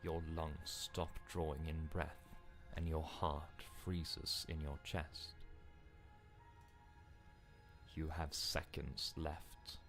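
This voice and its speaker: A man voicing a grave, foreboding narrator of a dark fairy-tale video game, with solemn and deliberate delivery. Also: extremely low pitch, level tone, faint music behind, full character voice. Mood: grave, ominous.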